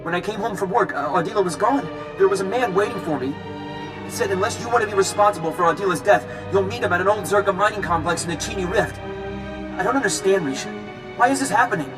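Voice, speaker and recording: Scared everyman for a space game: pleading, quick high voice over a hologram link, music behind. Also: animated. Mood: panicked. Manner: anxious, pleading. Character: frightened, pleading ordinary man seeking help. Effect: hologram call. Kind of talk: video game cutscene acting.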